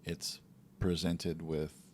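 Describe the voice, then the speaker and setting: A man co-hosting a podcast, talking in a relaxed, reflective way about faith and daily life, sometimes acting out little quotes. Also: low-pitched, extremely slow, slight background noise. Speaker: podcast co-host. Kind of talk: casual faith talk.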